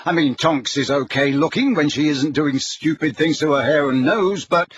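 A man's voice: a little high, normal speed, highs cut off, pitched above the performer's own voice, close to an everyday voice. A man voicing a blunt, sarcastic teenage boy with a slangy, dismissive manner in an audiobook story.

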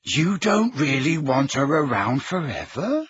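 A man's voice: on the low side, moderate pace, fairly bright tone, slightly rough, lo-fi sound. A man voicing a sly, outspoken teenage girl with a cutting, mocking manner in an audiobook story. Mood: incredulous, blunt.

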